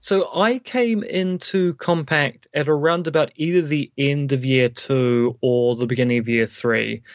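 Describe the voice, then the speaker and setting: A man's voice: mid-range voice, unhurried, no room sound, lo-fi sound. A man, a philosophy academic, explaining his views at length in an interview with dry humour. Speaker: guest academic, philosophy professor.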